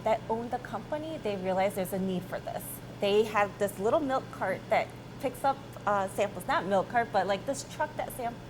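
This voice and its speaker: A woman's voice: mid-range voice, noisy background. A woman in her thirties with an American accent, answering interview questions conversationally as a company chief, informally checking in with "right?". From born in South Korea, grew up in Michigan, USA.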